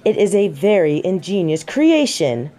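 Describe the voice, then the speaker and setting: A woman's voice: fairly low pitch, sing-song, measured pace, dark tone. A woman playing a snobby, centuries-old fallen angel in denial, speaking in formal, pompous phrasing in a scripted audio roleplay. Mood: haughty, smug. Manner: formal, precise, pompous diction. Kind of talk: scripted character roleplay monologue.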